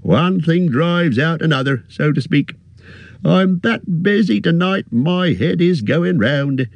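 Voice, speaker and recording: A man reading aloud and voicing a fat, bustling, forgetful middle-aged innkeeper in a high, flustered voice. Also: a little mellow, no echo, full character voice. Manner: flustered, chattering, high-pitched.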